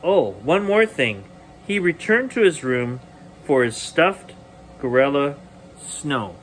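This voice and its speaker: A man reading a children's bedtime story aloud expressively, with deliberate dramatic pauses. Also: a little high, lively intonation, a little hiss or hum, rough recording.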